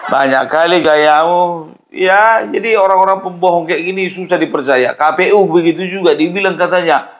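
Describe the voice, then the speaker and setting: A man's voice: sits high, normal speed. A man giving informal political commentary, scoffing and firing off mocking rhetorical questions.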